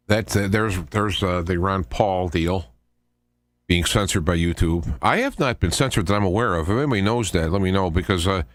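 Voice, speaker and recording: A man with a fairly low voice hosts a talk show in a dry, close-sounding space. He delivers informal political commentary as a monologue, halting often and wandering into conversational asides. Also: no room sound. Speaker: radio show host.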